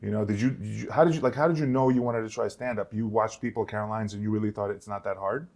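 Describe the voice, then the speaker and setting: A man's voice: fairly low pitch, fairly even intonation, darkish tone. A man hosting a casual podcast chat, interviewing a guest in a rambling way and laughing often. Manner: fast, rambling talker who laughs often. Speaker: podcast host.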